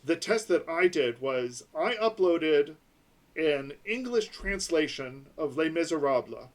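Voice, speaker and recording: A man co-hosting a casual tech podcast, explaining things step by step and slowing down on numbers. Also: fairly high pitch, lively intonation. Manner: explanatory, breaks numbers into slow pieces. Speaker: podcast co-host.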